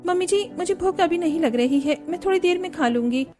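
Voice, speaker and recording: A woman voicing an emotional young daughter-in-law in a cartoon story, eager, pleading and quick to take offence. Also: extremely high pitch, animated, dark tone, soft background music, voice pushed up. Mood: polite. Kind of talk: Hindi cartoon story dialogue.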